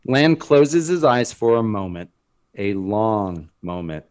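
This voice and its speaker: Slow, low-voiced male narrator. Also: very animated, darkish tone. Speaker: screenplay narrator. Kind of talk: scripted dramatic narration.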